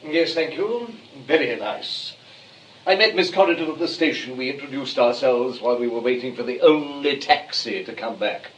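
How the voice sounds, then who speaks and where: An actor playing a polite, proper English gentleman guest, formal and a little hesitant, in a stage-style comedy with roomy, distant sound. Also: mid-range voice, normal speed, close to an everyday voice. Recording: roomy, distant, faint background noise.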